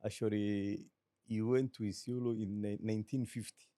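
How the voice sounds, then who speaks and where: Kenyan guy, kenyan accent, low dark voice, dry close sound, calm and thoughtful. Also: no echo. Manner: measured, reflective, mixes in Swahili. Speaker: guest, business owner. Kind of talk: relaxed interview talk.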